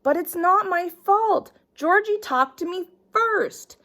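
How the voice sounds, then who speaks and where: A woman's voice: lively intonation, slow pace, pushed and bright, exaggerated voice. A woman reading aloud, voicing a young schoolchild who protests and whines that nothing is ever their fault. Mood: whining. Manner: high, protesting, whining. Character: whiny young schoolchild always dodging blame.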